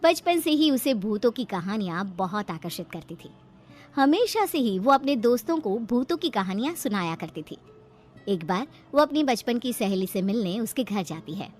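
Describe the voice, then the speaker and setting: A woman's voice: fairly high pitch, sing-song, normal speed, darkish tone, soft background music. A woman storyteller giving dramatic scripted narration of a horror story.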